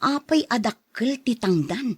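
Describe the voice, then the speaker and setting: A woman's voice: fairly high pitch, lively intonation, unhurried, a little mellow, breathy edge, no echo, clean studio sound. A woman playing an anxious, suspicious village mother in an audio drama.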